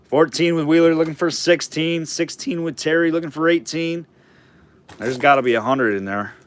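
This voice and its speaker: A man running a live online auction, calling bids and chatting loosely about the items, his throat getting hoarse. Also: a little high, normal speed. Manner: chatty, rambling asides between bid calls.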